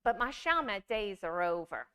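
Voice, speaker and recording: A woman giving a scripted storytelling performance, with deliberate, measured phrasing and dramatic pauses. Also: mid-range voice, big pitch swings, bright, forceful tone, clean tone. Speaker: monologue performer.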